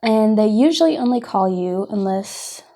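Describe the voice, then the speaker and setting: A woman's voice: mid-range voice, expressive, normal speed, dark tone. A woman giving an informal instructional talk in a conversational, explanatory, cautionary manner. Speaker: presenter of a scam awareness class.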